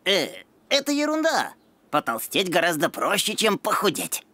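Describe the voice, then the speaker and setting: A woman voicing a brainy, pedantic young dog boy in a cartoon, bookish, deliberate and husky. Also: lowish voice, wildly swooping pitch, a bit bright, no echo, heavily acted. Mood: decisive.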